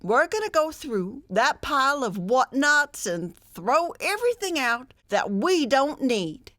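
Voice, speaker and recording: A woman's voice: high voice, big pitch swings, a little slow, a bit bright, no echo, studio quality, exaggerated voice. A woman playing a fussy, bossy, skeptical wife in an old-time radio comedy, fretful and emphatic.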